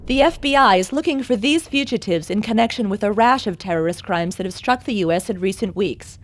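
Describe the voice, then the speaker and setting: An actress playing a formal, measured TV news reporter reading a scripted bulletin in a video game. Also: female voice, medium pitch, expressive, natural voice. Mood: formal, grave.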